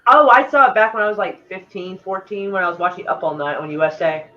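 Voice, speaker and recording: A woman's voice: medium pitch, quick pace, pushed and bright. A woman in her forties, co-hosting a casual watch party chat, rambling with lots of fillers. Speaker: co-host. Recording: dull lo-fi home mic.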